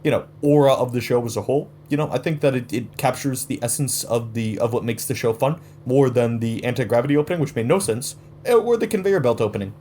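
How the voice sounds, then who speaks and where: A man doing casual, improvised comedy on a podcast. His voice sits at a medium pitch, with slight noise and hum underneath. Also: fast, hardly any pauses, warm tone.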